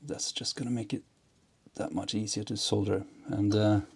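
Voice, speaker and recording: A man's voice: lowish voice, steady tone, moderate pace, vocal fry, husky. A man giving a casual hands-on demonstration, thinking aloud hesitantly as he works. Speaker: host of an electronics and ham radio build video.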